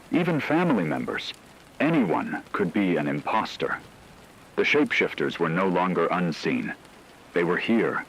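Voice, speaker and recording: A man reading scripted horror narration like a grave emergency radio chronicle, in a measured way. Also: low-pitched, slight background noise, rough recording.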